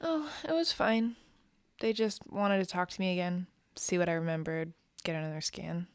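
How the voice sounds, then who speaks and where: Creaky-voiced, worried woman in an audio drama. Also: on the low side, normal speed, dry recording, clean studio sound, lightly acted. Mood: tired, evasive. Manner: hesitant, subdued. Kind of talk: naturalistic audio drama dialogue.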